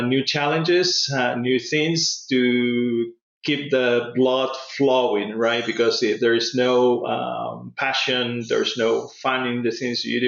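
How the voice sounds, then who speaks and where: An older man with a Peruvian accent answers interview questions conversationally, pausing often as he thinks. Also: mid-range voice, gravelly, fairly bright tone. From Lima, Peru. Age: 50s or older. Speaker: podcast guest, pet food industry consultant. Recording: roomy echo, dull lo-fi sound.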